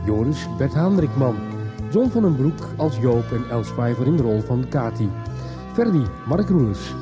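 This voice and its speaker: A man's voice: mid-range voice, big pitch swings, normal speed, dull audio. A man announcing scripted credits for a radio serial. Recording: music clearly behind.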